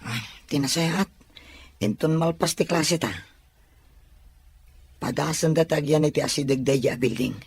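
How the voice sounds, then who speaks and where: A woman voicing a supportive young male classmate with a husky voice in an audio drama. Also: deep voice, unhurried, pauses often, a little mellow, no room sound, exaggerated voice. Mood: helpful.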